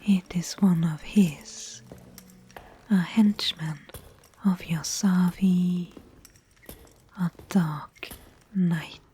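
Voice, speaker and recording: A woman playing a mysterious, protective fantasy guide in a whispered ASMR roleplay, breathy, measured and solemn. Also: on the low side, mellow. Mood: hushed, wary.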